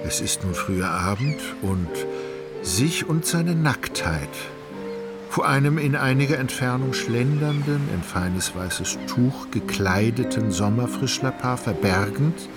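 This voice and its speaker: An actor narrating a literary radio play as an ironic, all-knowing storyteller, measured and ornate in his delivery. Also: mid-range voice, animated, lightly acted. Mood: wry, measured. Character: wry, omniscient, ironic male storyteller. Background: music.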